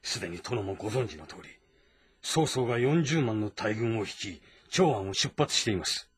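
An actor playing a composed, respectful military strategist who gives measured, formal briefings in a historical game drama. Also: male voice, on the low side, animated, breathy edge. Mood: composed, assured.

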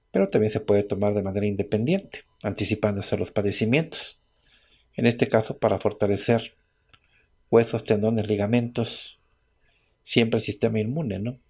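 Gravelly man dictating remedies. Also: fairly low pitch, dark tone. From Mexico. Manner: dictating remedy lists slowly, item by item. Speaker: radio herbalist host. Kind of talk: radio call-in advice show.

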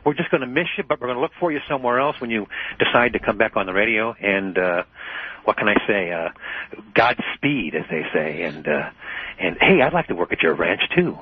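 Chatty male caller, husky voice. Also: lowish voice, animated, fairly quick. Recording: muffled radio call-in audio.

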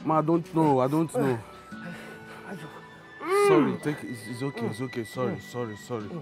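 A man playing a gentle soothing son. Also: mid-range voice, very animated, moderate pace, over loud music, natural voice. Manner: soft, reassuring, repeating apologies. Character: gentle young man soothing his mother.

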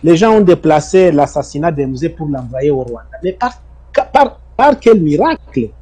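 A man from the Democratic Republic of the Congo, an interview guest, answering in an insistent way with emphatic rises and low trailing ends. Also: sing-song, moderate pace, mellow, breathy edge. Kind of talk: defensive testimony in an interview.

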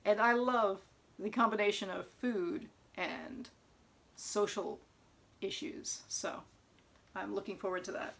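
A young woman chatting casually about new books at home, sometimes reading back-cover blurbs aloud in an even tone, recorded by a camera close in front of her. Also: medium pitch, slow pace, vocal fry, pauses often. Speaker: book vlogger. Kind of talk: casual book haul chat with read-aloud blurbs. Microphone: camera in front at close range.

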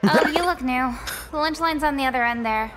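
A woman's voice: high voice, a little fast, noisy background, natural voice. A woman playing a friendly, chatty, irreverent preppy teenage girl in a video game. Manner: slangy, casual.

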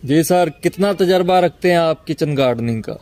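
A man's voice: on the high side, lively intonation, moderate pace, a little mellow. A man in his thirties hosting a garden vlog, politely interviewing a gardener and repeating each answer back for viewers. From Pakistan. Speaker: vlogger host. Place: outdoor walled kitchen garden.

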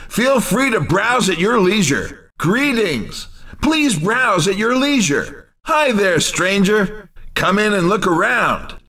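A man voicing an upbeat, excitable racing video game announcer with a punchy, showy, cheerful delivery. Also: sits high, recorded from a distance, full character voice. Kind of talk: video game announcing, race commentary and shop greetings.

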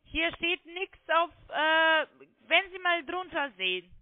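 High-pitched woman on a phone line. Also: expressive, slow pace, no room sound. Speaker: phone sales agent claiming to be technical support. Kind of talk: phone sales call, step-by-step instructions.

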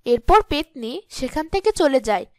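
A woman's voice: sits high, big pitch swings, normal speed, darkish tone, no room sound, studio quality. A woman narrating a scripted cartoon fairy tale as a storyteller.

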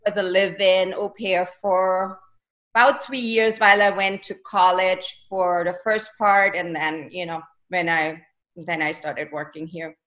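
A woman's voice: lowish voice, steady tone, measured pace, fairly bright tone. A woman in her forties from Germany with a German accent, a guest chatting casually and laughing easily over a video call. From Germany, near Salzburg. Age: 40s. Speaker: guest, costume designer. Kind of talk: casual interview chat. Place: home room, video call. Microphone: webcam on video call.

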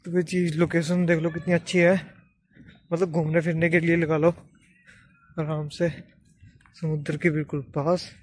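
A man's voice: fairly high pitch, little pitch movement, normal speed, long pauses, slight background noise. A young man casually narrating a travel vlog into his phone, chatting to viewers as guys, first outdoors by the sea and later at home. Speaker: vlogger.

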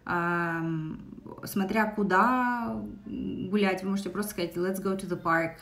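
A woman's voice: mid-range voice, a little slow, fairly bright tone. A young woman with a Russian accent, teaching an English lesson on a live stream, speaking into a phone's front camera in a small room and switching between English and Russian with many fillers. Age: young adult. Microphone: phone held close, front camera.

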